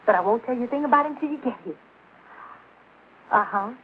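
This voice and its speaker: An actress playing a sweet, starry-eyed young bride-to-be, eager and polite, in 1950s American sitcom style. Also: female voice, a little high, fairly quick, husky. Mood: gushing. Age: young adult. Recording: tinny vintage television soundtrack.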